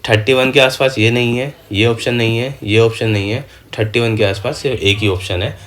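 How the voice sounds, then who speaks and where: A man's voice: medium pitch, fairly even intonation, a little fast, fairly bright tone. A man with a mid-range voice teaches exam maths. He walks through the working step by step. He keeps putting small questions to the learner, answers them himself and checks in with short tags. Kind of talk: exam maths lesson, step-by-step worked solutions.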